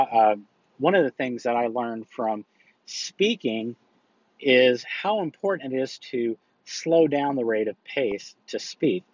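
A man's voice: mid-range voice, dry recording, highs cut off. Rambling male podcast host, dry sound. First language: English. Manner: rambling, frequent fillers and restarts. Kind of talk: casual monologue.

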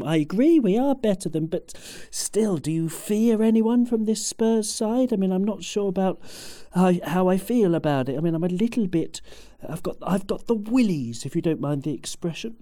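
A man's voice: high voice, warm tone. A man hosting a football podcast, chatty and rambling, with lots of fillers. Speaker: podcast host. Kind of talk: casual football chat.

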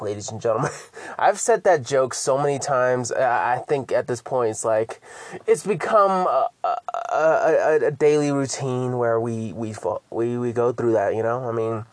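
A man's voice: mid-range voice, no room sound. A man hosting his own podcast in casual solo chat, rambling and stammering, swearing freely as he talks. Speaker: podcast host.

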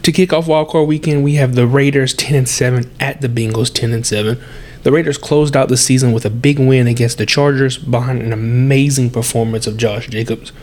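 A man's voice: mid-range voice, fairly even intonation, brisk, darkish tone. A young adult man hosting a solo sports podcast, congested and with a sore throat, chatty and breathing heavily as he corrects himself. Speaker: podcast host.

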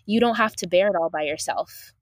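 Low-voiced woman from Uganda, dry sound. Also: brisk, dry recording. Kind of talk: casual conversation.

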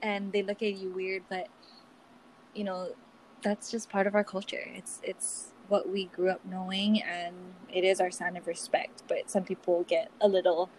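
A young woman from Hawaii co-hosting a podcast over a video call, joking and giggling through casual talk. Her voice sits at a middling pitch. There is a slight noise floor beneath it. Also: level tone, moderate pace, darkish tone, rough recording. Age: 20s. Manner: playful, giggly, joking asides. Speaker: podcast co-host. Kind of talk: casual chat.

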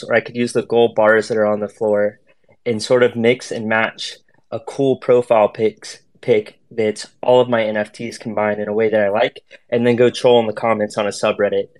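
A man speaking as a guest on an online voice chat, explaining and telling stories in a casual, slangy way. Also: on the low side. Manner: slangy, informal. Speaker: guest artist.

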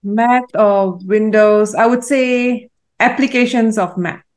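A woman from Malaysia, a guest in an interview, answering in a thoughtful, measured way with frequent pauses and fillers. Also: fairly high pitch, a little mellow. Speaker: guest, AI consultant.

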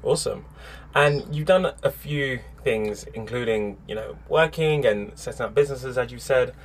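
A man hosting a podcast, reading a lively intro and then interviewing a guest in a friendly, eager way. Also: medium pitch, moderate pace, a little hiss or hum. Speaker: podcast host.

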